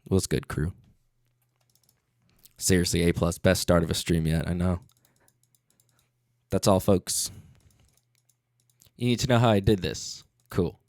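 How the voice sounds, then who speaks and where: A man's voice: low voice, steady tone, a little slow, pauses often, a little mellow, no echo. A man hosting a casual livestream tutorial as a music producer, talking in a laid-back, slangy way into a studio microphone.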